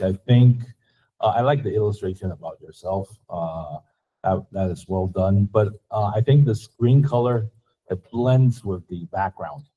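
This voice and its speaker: A man's voice: fairly low pitch, warm tone. A man giving a student feedback in class, talking casually and searching for words. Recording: dull lo-fi recording.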